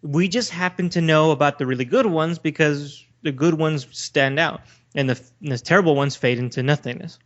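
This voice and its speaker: Male podcast host with a fairly high voice, explanatory and blunt in casual debate. Muffled lo-fi recording. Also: moderate pace, a bit bright. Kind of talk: casual opinionated discussion. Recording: dull lo-fi recording.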